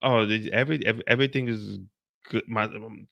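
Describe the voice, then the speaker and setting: A man's voice: lowish voice, steady tone, vocal fry, sharp tone, no room sound, dull audio, voice pushed down. A man putting on a nervous young male henchman who stammers and trips over his words while talking on a phone. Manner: stammering, halting, repeats words.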